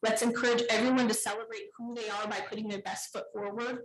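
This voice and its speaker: A woman giving an earnest, pleading public comment speech at a meeting, with deliberate phrasing. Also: fairly high pitch, little pitch movement, a loud crowd. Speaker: parent speaking at public comment. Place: indoor public board meeting room. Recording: echoey meeting room.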